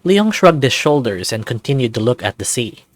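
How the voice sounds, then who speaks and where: A man reads a story game aloud in a middle-pitched voice. His narration runs in a dry, close sound with light music behind it. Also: big pitch swings, moderate pace, no room sound. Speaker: player reading a visual novel aloud.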